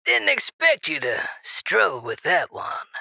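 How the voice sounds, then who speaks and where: An actor plays a radio drama host with a bright voice that cracks into fry. The delivery is playful and showy, swinging in pitch, chirpy on top with a faint menace beneath the teasing. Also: wildly swooping pitch, unhurried, dry recording, full character voice. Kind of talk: audio drama in radio show style.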